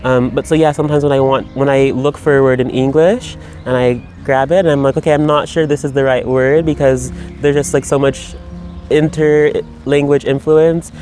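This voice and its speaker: A man's voice: mid-range voice, fairly even intonation, moderate pace, darkish tone, faint music behind. A man speaking in a personal video monologue, rambling and reflective, with many fillers and restarts. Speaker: writer. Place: Berlin.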